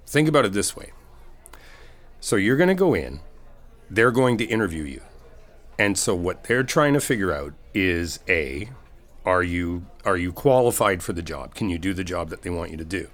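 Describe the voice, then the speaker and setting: A man acts out an easygoing male best friend with a low voice. He talks in a casual, conversational way, reassuring and supportive, rephrasing as he goes. It is a one-sided ASMR comfort roleplay, spoken to a single friend. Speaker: audio roleplay performer.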